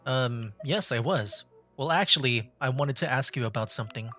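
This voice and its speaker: A man's voice: medium pitch, expressive, moderate pace, pushed and bright, faint music behind. A man streaming a game with casual, slightly hesitant live commentary, restarting his sentences.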